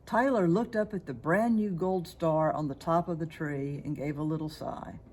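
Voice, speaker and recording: A woman reading a children's story aloud in an expressive, scripted narrating style. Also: low-pitched, moderate pace, slight background noise. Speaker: storybook reader.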